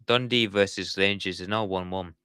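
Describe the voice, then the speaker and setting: Deep-voiced man calling football live. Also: fairly even intonation, moderate pace, pushed and bright, clean tone, no echo, clean studio sound. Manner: chatty, talks to viewers in the chat. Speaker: watchalong streamer. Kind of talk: live football commentary on a stream.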